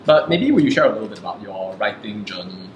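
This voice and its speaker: A male host who winds his way into each question, hedging and circling before he gets to the point. The echoey room carries a clearly audible background hum. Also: fairly low pitch, big pitch swings, fast talker. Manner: rambling, hedging questions full of "like". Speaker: interview host. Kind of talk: casual interview questions.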